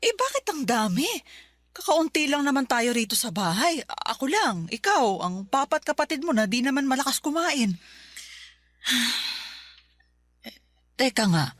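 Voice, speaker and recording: A woman's voice: high voice, big pitch swings, a little slow, long pauses, fairly bright tone, no room sound, exaggerated voice. An actress playing a caring, nosy middle-aged mother with a probing, colloquial way of talking in a Tagalog radio drama. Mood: probing, insistent.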